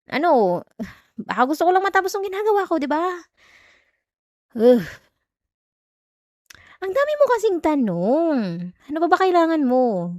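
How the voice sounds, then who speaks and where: A young Filipino student girlfriend, acted by a woman in an intimate audio roleplay. Her voice is high and recorded very dry, with no room around it. She talks in a sulky, defensive way, like someone jealous and easily irritated. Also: dry recording. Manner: defensive, clipped, teasing Taglish.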